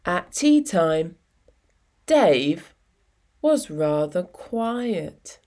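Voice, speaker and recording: A woman reading a children's picture book aloud in an expressive, deliberate storytelling manner with dramatic pauses. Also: fairly low pitch. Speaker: storytime reader.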